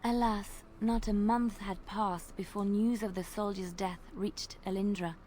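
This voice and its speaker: A woman voicing a gentle young woman bard in a video game, telling old tales in a soft, measured and earnest way over faint game ambience. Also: medium pitch, steady tone, slight background noise, close to an everyday voice. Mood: storytelling. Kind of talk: storytelling in video game dialogue.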